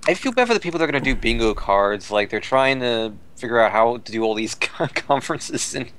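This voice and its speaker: An American man co-hosting a podcast in relaxed, casual conversation. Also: mid-range voice, fairly quick, clear, resonant tone. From United States. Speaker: podcast co-host. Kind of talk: casual chat.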